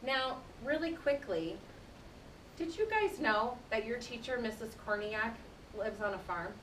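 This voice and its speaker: A woman reads aloud to a classroom of young children, pausing to explain things in simple, conversational terms. Her voice sits fairly high and sounds distant in an echoing room, with a low rumble of noise behind her. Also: lively intonation, a bit bright. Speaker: teacher reading aloud to children. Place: indoor room, speaking to a class.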